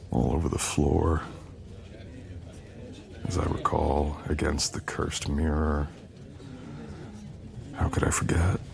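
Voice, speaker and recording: Man playing a very deep, gravelly, possessive shadow boyfriend. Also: a little mellow, full character voice. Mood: teasing. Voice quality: deep, gravelly, often breathy and whispered. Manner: slow, brooding, teasing intimate delivery. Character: possessive, menacing male shadow-wielding supernatural boyfriend. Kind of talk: romantic ASMR audio roleplay.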